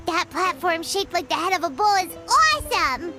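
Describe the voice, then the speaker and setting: Tiny excitable fairy sidekick, extremely high squeaky childlike voice, video game, loud music underneath. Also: female voice, moderate pace. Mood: excited. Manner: exclamatory, speaks of herself in third person.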